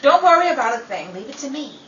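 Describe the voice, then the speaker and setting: A roomy, slightly dull recording of an actress as a much-married, melodramatic woman from a 1930s-style radio play. She is wry and theatrical, bossing her friend about out of loyalty. Her voice sits fairly high. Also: very animated, quick pace, fairly bright tone. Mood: bossy, caring. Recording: dull, roomy, distant, with faint noise.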